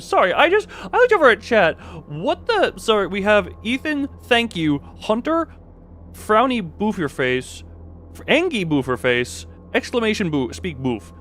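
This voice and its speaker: A man's voice: high voice, big pitch swings, measured pace, a bit bright, slight background noise. A man chatting casually on a gaming livestream and reading game notes aloud, rambling, easily sidetracked and stumbling over words. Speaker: live streamer.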